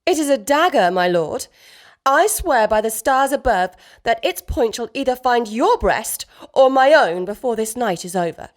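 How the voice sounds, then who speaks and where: A woman's voice: a little high, expressive, normal speed, lightly acted. An actress playing a nervous, naive young noblewoman in a period comedy radio play, speaking formally and hesitantly. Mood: defiant.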